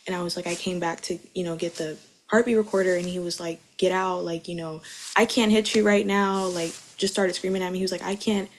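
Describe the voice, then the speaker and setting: Young woman on the stand in court, slightly low voice, casual wording, bit of background noise. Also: steady tone, a little fast. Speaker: witness, mother testifying in court. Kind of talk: courtroom testimony, informal recounting.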